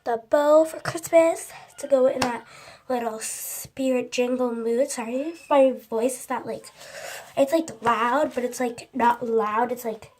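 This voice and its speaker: A woman's voice: a little high, a little slow, darkish tone, slight background noise. A teenage girl vlogging into her phone, rambling with lots of likes and restarts, sounding congested from a runny nose. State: stuffy, congested from a cold. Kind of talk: casual chat to camera.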